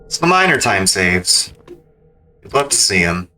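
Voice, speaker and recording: A male streamer commentates casually on a speedrun. His voice sits fairly low and has a bright, forward edge, with faint music behind it. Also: very animated, a little slow, low-quality recording.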